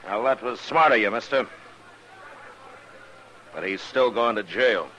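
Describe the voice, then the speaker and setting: A man's voice: mid-range voice, lively intonation, measured pace, bright, forceful tone, muffled recording. An American actor playing a stern, fearless frontier lawman in a 1950s Western radio drama, terse and commanding, narrating his own story. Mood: firm, challenging. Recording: noisy old radio recording.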